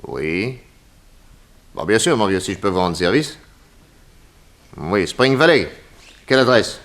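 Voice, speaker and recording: A debonair male private detective in a sixties French radio serial. He speaks in an urbane, easygoing way, genial and unhurried, with polished period delivery. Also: on the low side, sing-song, fairly bright tone, heavily acted. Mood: businesslike. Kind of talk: 1960s French radio drama acting.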